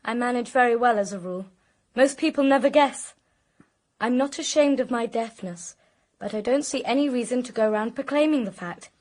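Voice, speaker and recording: A woman's voice: a little high, normal speed, a little mellow, natural voice. An actress playing a troubled, plaintive young woman in a radio mystery drama. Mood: defensive. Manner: earnest, plaintive. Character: troubled young British woman, suspected of murder, despairing.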